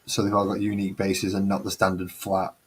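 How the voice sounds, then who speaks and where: A man's voice: deep voice, completely flat delivery, brisk, a little hiss or hum. A man with a Mancunian accent hosting a casual hobby chat, chatty and self-mocking with a grumbling streak. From northern England. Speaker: host.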